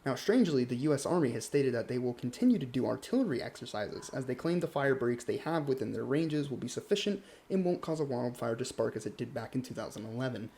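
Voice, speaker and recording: A man's voice: medium pitch, lively intonation, quick pace, hardly any pauses, darkish tone, natural voice. A man playing an earnest local morning news anchor, reading scripted news. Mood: composed, earnest.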